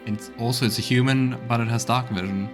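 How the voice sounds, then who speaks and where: A man's voice: medium pitch, fairly even intonation, brisk. A man giving casual let's play commentary, thinking aloud as he goes. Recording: faint game music behind.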